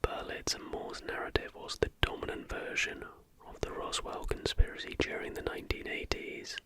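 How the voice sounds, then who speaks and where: An ASMR host narrating in a breathy, unvoiced whisper with a hushed, measured delivery. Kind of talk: ASMR reading of conspiracy history, scripted narration with brief asides.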